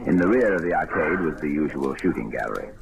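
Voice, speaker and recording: An actor playing a cool, wry detective in an old-time radio mystery, speaking in a dry, urbane way. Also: male voice, very deep voice, expressive, fast, gravelly, heavily acted. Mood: composed narration. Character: suave wry male detective adventurer, cool and unflappable. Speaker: lead actor and narrator. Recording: noisy old radio recording.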